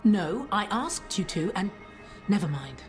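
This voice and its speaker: A woman's voice: medium pitch, sing-song, unhurried, soft background music. A woman playing an earnest, insistent official in a video game. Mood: hurt, insistent.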